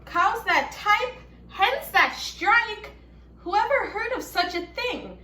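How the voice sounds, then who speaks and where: A woman voicing an exasperated male farmer in a read-aloud storybook, exclaiming in disbelief with drawn-out words. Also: very high-pitched, expressive, bright, forceful tone, pitched above the performer's own voice, full character voice. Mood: exasperated, incredulous. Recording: roomy echo, faint background noise.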